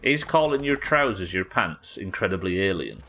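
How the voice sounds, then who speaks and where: Lively low-voiced British man chatting on stream. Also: moderate pace. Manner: rambling, reads chat messages aloud and muses over them. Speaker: streamer host. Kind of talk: casual live-stream chat while gaming.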